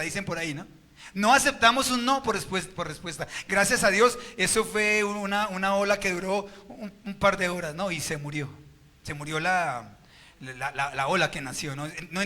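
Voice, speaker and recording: A man's voice: high-pitched, pushed and bright. A Colombian man preaching a sermon as pastor at a church service, firing rhetorical questions at the congregation.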